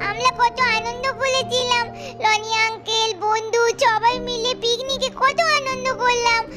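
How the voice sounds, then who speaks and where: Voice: extremely high pitch, level tone, a little slow, music in the background. A performer voicing an earnest, plaintive little doll girl in a children's cartoon. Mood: worried, sad.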